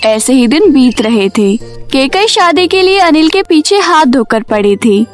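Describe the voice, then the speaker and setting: A very high-voiced woman tells a scripted cartoon story. She works as the storyteller, with music playing behind her narration.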